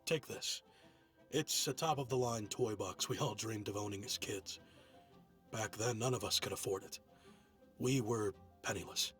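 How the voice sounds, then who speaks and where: A man's voice: fairly low pitch, normal speed, fairly bright tone, soft background music, close to an everyday voice. A man voicing a sincere, nostalgic young townsman in a video game, earnest and sentimental. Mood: generous, nostalgic.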